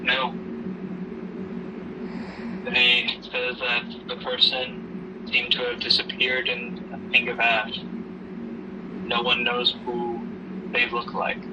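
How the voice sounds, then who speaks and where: A man playing a gentle, protective grieving father in an amateur audio drama, speaking in a halting, reassuring way. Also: medium pitch, completely flat delivery, lightly acted. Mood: somber. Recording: noisy hobby recording, distant mic.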